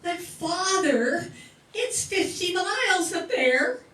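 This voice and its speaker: A woman's voice: extremely high pitch, very animated, slow, a bit bright, heavily acted. A woman playing a whiny, sulky teenage daughter in an old-time radio comedy. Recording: roomy, distant mic, faint noise.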